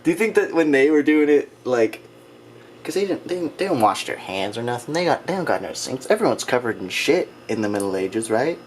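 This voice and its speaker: A man's voice: medium pitch, very animated, brisk, a little hiss or hum. A young man, chatting and joking to viewers while cooking. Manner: jokey, rambling, addresses viewers as kids at home. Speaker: cooking video co-host.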